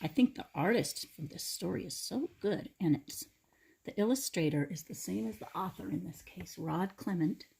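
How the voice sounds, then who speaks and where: A woman reading a picture book aloud to children in a dramatic, expressive way, with chatty explanatory asides. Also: on the low side, normal speed, gravelly, slightly rough.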